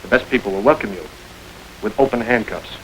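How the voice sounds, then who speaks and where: An actor voicing a suave gentleman crook from an old crime film, talking quickly with dry, urbane wit. He gives orders smoothly, never raising his voice much, over a faint tape hiss. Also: on the low side, lightly acted, poor audio. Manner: urbane, wry and smoothly commanding. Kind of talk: 1930s film crime drama.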